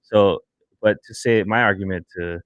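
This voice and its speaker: A man talking casually on a podcast in a studio, making his points deliberately with pointed questions. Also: on the low side, steady tone. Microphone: close studio broadcast mic.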